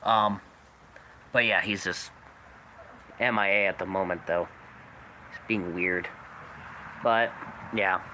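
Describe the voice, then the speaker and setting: A young man hosting a channel, giving a rambling casual update with many fillers, his voice slightly raspy from coughing. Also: deep voice, steady tone, takes it slowly, pauses often, sharp tone. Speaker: channel host. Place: outdoors, people walking by. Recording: noisy outdoor recording.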